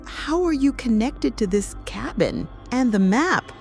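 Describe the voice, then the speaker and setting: A woman's voice: a little high, expressive, unhurried, vocal fry, darkish tone, faint music behind, pitched above the performer's own voice. A woman voicing a frightened, wary young mother on the run in a suspense folktale. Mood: questioning. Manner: wary, questioning.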